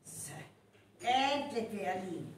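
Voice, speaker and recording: Eine Frau spielt in einem Live-Hörspiel auf Nordfriesisch eine herrische Frau, die ihrer Gehilfin diktiert. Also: female voice, medium pitch, animated, extremely slow. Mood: dictating. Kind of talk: live radio play acting in North Frisian. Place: live stage performance in a hall. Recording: very echoey live hall, distant, faint noise.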